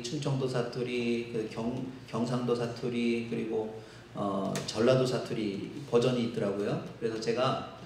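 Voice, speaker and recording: A male pastor from Seoul with a Seoul accent, preaching a sermon in a conversational way with filler pauses. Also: medium pitch, slight background noise. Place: church sanctuary. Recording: echoey church hall.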